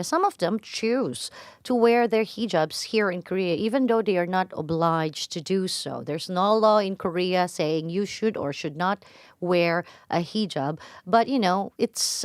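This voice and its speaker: A woman's voice: lowish voice, no room sound. A woman hosting a radio interview in a studio, prompting her guest with frequent "mm-hmm"s. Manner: lots of "mm-hmm" backchannels, warm encouraging interjections. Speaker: radio host.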